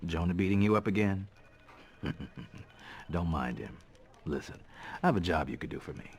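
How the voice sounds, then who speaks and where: A supportive middle-aged editor in a video game. His low voice is easygoing and fatherly, rising and falling expressively. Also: expressive, normal speed. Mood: kindly. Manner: avuncular, easygoing. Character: kindly, supportive male newspaper editor.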